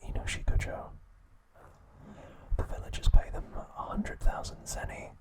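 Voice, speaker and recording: A man hosting ASMR, narrating in a hushed, soothing, breathy whisper at an unhurried pace. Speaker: ASMR host.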